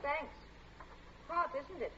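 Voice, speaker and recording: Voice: high voice, slow pace, sharp tone, full character voice. A performer playing a casual, curious small boy in a 1940s radio drama. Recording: dull vintage radio recording.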